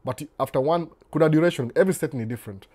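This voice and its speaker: A man hosting a casual live stream. He answers viewer questions in a relaxed, unhurried way and moves freely between Swahili and English. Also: fairly high pitch. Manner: reads viewer comments aloud and responds to them.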